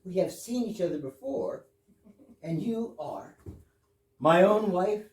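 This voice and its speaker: A formal, puzzled gentleman husband from an absurdist stage comedy, played by a man, with a mellow voice that swings widely in pitch. He is deliberate and mock-astonished, heard from a distance in a roomy space. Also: animated. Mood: growing astonishment. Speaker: amateur readers theater actor. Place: live stage reading in a room. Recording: roomy, distant mic with clear noise.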